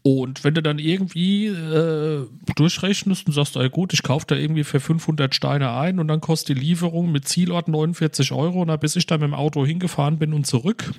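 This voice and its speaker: A man's voice: a little high, a little fast, few pauses, darkish tone, no echo, studio quality. A man chatting casually as a podcast co-host, telling anecdotes in a colloquial, wry way.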